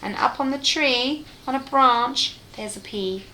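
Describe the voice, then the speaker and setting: A woman reading a children's bedtime story aloud in an expressive, playful way, speaking directly to her listener. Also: high-pitched, unhurried, slight background noise. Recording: roomy, echoey room sound.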